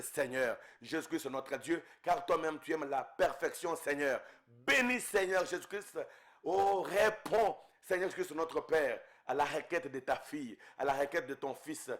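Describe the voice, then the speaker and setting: Fervent Congolese man praying aloud. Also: on the high side. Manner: fervent, repeating invocations, voice rising and falling in waves. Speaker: preacher. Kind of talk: intense spoken prayer.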